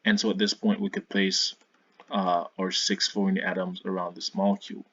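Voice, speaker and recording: A man's voice: low voice, little pitch movement, moderate pace, fairly bright tone, muffled recording. A man giving an informal science tutorial as a video host, hesitant, often repeating and correcting himself.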